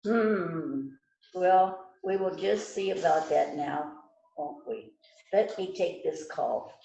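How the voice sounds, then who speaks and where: A woman playing a smug, stern police detective in an amateur radio-style mystery, speaking deliberately and knowingly. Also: fairly low pitch, creaky, dark tone, highs cut off. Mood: smug, probing. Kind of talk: amateur readers theater rehearsal. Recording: roomy, echoing room sound.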